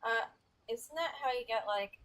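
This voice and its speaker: A woman's voice: a little high, moderate pace, fairly bright tone. A woman game streamer giving casual, reactive let's-play commentary with a giggly manner. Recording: game music behind the voice.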